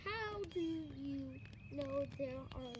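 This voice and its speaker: Child reader, high voice, slow and halting through a storybook, clear outdoor birdsong noise. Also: expressive. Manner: halting, hesitant early-reader delivery. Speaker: young co-reader of a picture book. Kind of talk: reading a picture book aloud.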